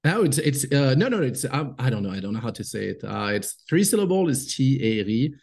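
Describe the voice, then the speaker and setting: A man's voice: mid-range voice, very animated, fairly quick, gravelly, a little mellow. A man with a French accent, chatting casually as a podcast guest, hesitant with frequent fillers and laughs. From France. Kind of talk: casual interview chat.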